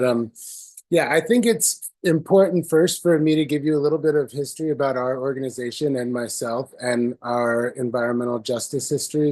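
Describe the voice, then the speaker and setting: A man with a hoarse voice from a cold, giving a presentation on a video call with many fillers and restarts. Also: medium pitch, a little mellow. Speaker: presenter at community meeting.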